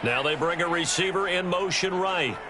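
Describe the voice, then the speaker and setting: A man's voice: high-pitched, normal speed, noisy background, natural voice. A man playing an American TV football play-by-play announcer, with a polished broadcast delivery. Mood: focused. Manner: polished broadcast delivery, lifts on big plays. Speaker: play-by-play sports announcer in a football video game.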